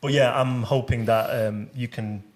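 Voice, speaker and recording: A man's voice: medium pitch, steady tone, unhurried. A man with a Manchester accent, chatting casually and a bit hesitantly as a game developer showing off a football game. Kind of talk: casual chat during gameplay. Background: music behind the voices.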